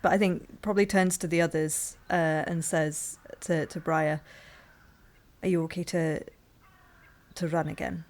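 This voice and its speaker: A woman's voice: deep voice, little pitch movement, unhurried, pauses often. A woman playing a roleplaying game, improvising third-person narration and bits of dialogue.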